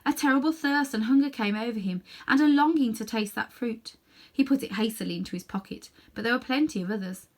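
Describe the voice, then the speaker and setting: A woman's voice: fairly high pitch, brisk. Woman reading a children's story expressively. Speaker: storybook reader. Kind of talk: expressive scripted narration for children.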